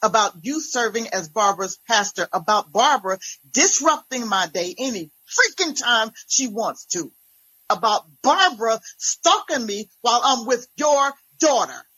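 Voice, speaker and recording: Woman as a fed-up, husky pastor's wife. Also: on the high side, expressive, moderate pace, sharp tone, no echo, voice pushed up, exaggerated voice, rough recording. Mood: exasperated. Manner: emphatic, exasperated. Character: fed-up, furious pastor's wife confronting her husband.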